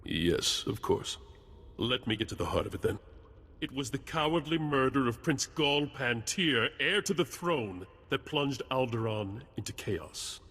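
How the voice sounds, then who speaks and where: A man's voice: medium pitch, expressive, a little slow, sharp tone, husky, exaggerated voice. A man playing a proud, stiffly formal captain of a royal guard in a video game. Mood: haughty, grudging. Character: proud, curt male captain of a queen's guard. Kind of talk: video game cutscene acting. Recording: long hall reverb.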